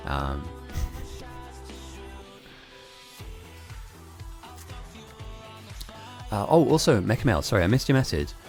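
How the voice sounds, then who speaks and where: A man's voice: fairly low pitch, sing-song, drawn-out words, pauses often, a little mellow. A man with an English accent who grew up speaking German and Italian, streaming a game and chatting loosely with viewers while reading their messages. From grew up in Germany and Italy. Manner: rambling, chatty, laughs often. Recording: faint music behind.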